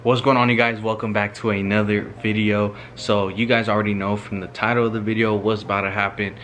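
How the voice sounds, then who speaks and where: A man's voice: fairly low pitch, level tone, brisk, over music. A young man talking to his camera at home in a laid-back, slangy vlog style. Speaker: vlogger. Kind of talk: casual vlog chat. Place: bedroom at home.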